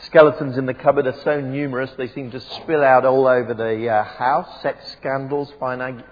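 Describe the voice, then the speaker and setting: A man gives a wry, conversational sermon, full of ums and self-corrections. His voice is a little husky, and it carries through the long reverb of a church PA system on a dull, lo-fi recording. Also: mid-range voice, fairly even intonation, moderate pace. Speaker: preacher. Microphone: PA microphone.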